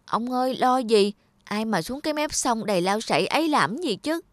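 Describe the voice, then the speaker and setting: A woman's voice: a little high, lively intonation, moderate pace, dry recording, close to an everyday voice. A woman voicing a timid, crafty young male servant in a cartoon, deferential and pleading. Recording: studio voice with loud music.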